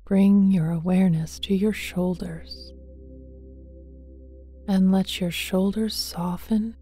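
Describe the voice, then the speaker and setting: Very soft, mellow woman guiding meditation, slowly. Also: mid-range voice, steady tone. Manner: gentle, hushed, often breathy near a whisper; tender, affectionate address to listener. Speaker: meditation guide. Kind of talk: guided meditation, slow and soothing. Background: soft meditation music with singing bowls.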